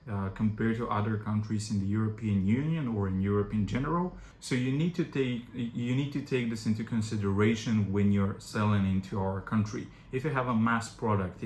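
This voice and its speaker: A young man from Bulgaria whose first language is Bulgarian, speaking in English as an agency founder who gives direct, practical advice to camera and often pauses on "uh". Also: medium pitch, darkish tone. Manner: direct, advisory, frequent "uh" fillers. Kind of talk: informal explainer to camera. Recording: echoey room with faint background noise.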